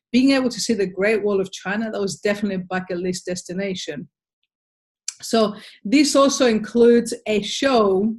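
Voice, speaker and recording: A woman's voice: medium pitch, a little mellow. Australian woman, enthusiastic webinar presenter, persuasive sales talk, home webcam.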